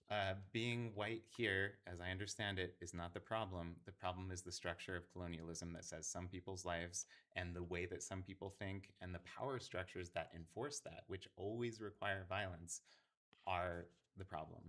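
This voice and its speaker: A man's voice: sits low, fairly bright tone. A man talking earnestly in a casual conversation, thinking aloud with fillers and restarts.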